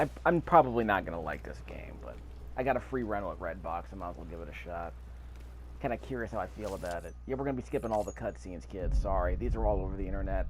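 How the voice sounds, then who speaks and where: A man's voice: fairly low pitch, a little hiss or hum. A man giving casual commentary over video gameplay, with an offhand, dryly skeptical way of talking.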